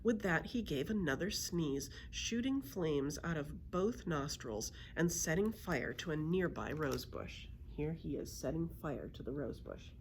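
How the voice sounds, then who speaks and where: A woman reading a children's book aloud as a library storyteller, in an expressive storytelling manner. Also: sits low, a little slow, hardly any pauses, slight background noise.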